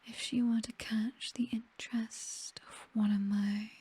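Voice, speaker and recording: A woman with a British accent playing a possessive, teasing vampire mistress in an intimate ASMR roleplay, slow and breathy, mostly whispered, softly commanding and seductive, close on the microphone. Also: a little high, little pitch movement. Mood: condescending reassurance. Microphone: close microphone, dry.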